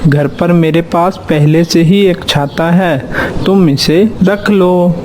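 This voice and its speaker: A kindly, hungry old-fashioned uncle crow from a children's cartoon, played by a man. The voice is fairly high for a male and moves slowly in a folksy drawl. Clear noise sits behind it. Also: steady tone, darkish tone. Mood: grateful, generous.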